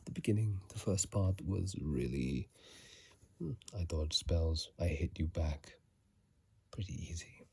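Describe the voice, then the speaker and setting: A person whispering ASMR commentary about a video game in a husky, breathy, halting way, recorded on a phone microphone. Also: sits low, lively intonation, a little mellow. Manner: hesitant, halting, repeats words. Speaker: host of an ASMR channel.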